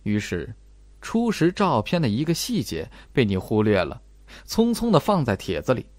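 A man's voice: mid-range voice, wildly swooping pitch, moderate pace, darkish tone, dry recording. A man voicing a cold, methodical investigator in an audiobook, laying out an accusation. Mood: accusing. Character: cold, probing male investigator laying out evidence. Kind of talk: audiobook character acting.

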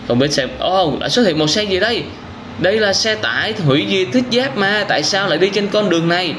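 A young man with a southern Vietnamese accent giving casual, exclamatory reaction commentary as a gaming host, speaking straight to young viewers. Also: fairly high pitch. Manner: exclamatory storytelling with frequent wows and addresses to viewers. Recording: clearly noisy with game vehicle sounds and sirens.

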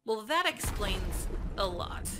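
A woman voicing a young, sardonic, no-nonsense demon superheroine with a dry manner in an animated parody. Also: mid-range voice, takes it slowly. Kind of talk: animated comic-book parody acting. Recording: loud music behind the voice.

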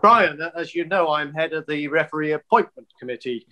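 An actor playing a polite, exasperated county football official, formal and tactful, on a video call. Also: male voice, fairly high pitch, animated, a little fast, pushed and bright. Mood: politely awkward. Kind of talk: filmed comedy acting on a video call.

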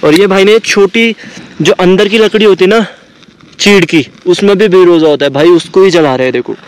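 Excited young man vlogging outdoors. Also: sits high, moderate pace, slight background noise, rough recording. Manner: excited, chatty, calls out to viewers. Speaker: travel vlogger. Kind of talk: casual camping vlog. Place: outdoors on a mountain meadow by a campfire. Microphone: action camera.

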